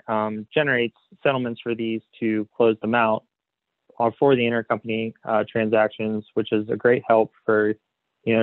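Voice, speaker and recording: A man presenting a software webinar as a consultant, explaining slides in a hesitant way padded with "you know". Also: lowish voice. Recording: phone-like narrow band, roomy echo.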